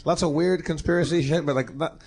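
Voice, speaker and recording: A man joking casually, on a recording with loud noise. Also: fairly high pitch, steady tone, brisk, creaky. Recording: loud background noise, clicks.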